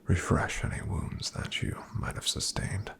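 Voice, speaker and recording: A man in an ASMR roleplay voicing a calm, careful paladin travel companion, softly whispering to the listener. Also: a little slow, close to an everyday voice. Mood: calm hushed. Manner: soft, gentle whispering to the listener.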